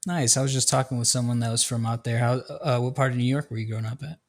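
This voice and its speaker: A man's voice: mid-range voice, fast. Easygoing young man hosting a podcast. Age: young adult. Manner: relaxed, friendly questions with filler "uh". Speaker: podcast host. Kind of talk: casual interview conversation.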